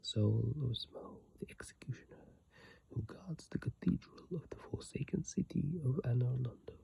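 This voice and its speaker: An ASMR creator whispering breathily and softly into a phone mic, reading aloud with unhurried, hesitant casual asides. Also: mid-range voice, lively intonation, creaky, breathy edge. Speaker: ASMR video creator reading game descriptions. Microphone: iPhone microphone, close up.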